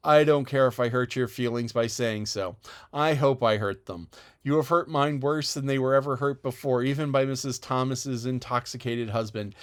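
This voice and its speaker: A man voicing a fiery, passionate red-haired orphan girl who shouts in indignation. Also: mid-range voice, clear, resonant tone, lightly acted.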